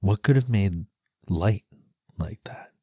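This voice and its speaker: Male ASMR narrator, low and mellow, breathy husk, slips into whispers. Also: lively intonation, takes it slowly, no echo, studio quality. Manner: hushed, slipping into whispers. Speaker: audio storyteller. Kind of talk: second-person erotic ASMR narration.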